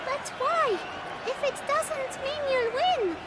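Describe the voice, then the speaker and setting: A woman's voice: very high-pitched, animated, slow pace, loud music behind the voice, exaggerated voice. A woman voicing an eager, earnest, inquisitive young child in a video game. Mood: curious, earnest. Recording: loud outdoor crowd noise behind.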